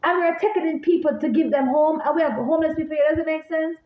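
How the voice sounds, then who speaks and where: A woman with a Jamaican accent, rambling in a casual vlog, animated and repeating herself as she talks straight to her viewers. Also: high voice, quick pace. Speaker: vlogger. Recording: echoey room, phone-like sound.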